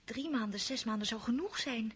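A woman's voice: fairly high pitch, moderate pace, breathy edge. An actress playing an impulsive, passionate young noble girl who whispers and pleads in an old radio drama. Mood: distraught.

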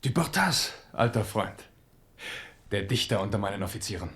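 An actor in a German radio play playing a genial, earthy king who talks in a familiar, theatrically expressive way. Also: lowish voice, moderate pace, loud music behind the voice. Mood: wistful, subdued. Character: genial, earthy king, warm with commoners and old comrades.

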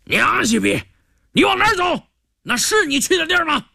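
A coarse, snarling bully of an imperial guard, acted by a male storyteller. The rough voice is pushed high above a normal register, menacing and wildly animated, in a dry recording. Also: normal speed, no echo, full character voice. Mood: furious. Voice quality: rough, loud-mouthed. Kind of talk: Chinese audio storytelling.

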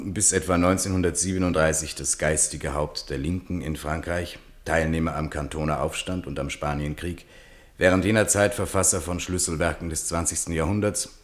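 Male narrator with a very deep bass voice, report-like literary storytelling for radio drama.

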